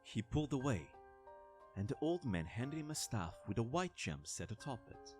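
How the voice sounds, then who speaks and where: A man's voice: mid-range voice, big pitch swings, moderate pace, darkish tone, faint music behind. A man narrating a scripted fantasy war story as the narrator of an audio drama.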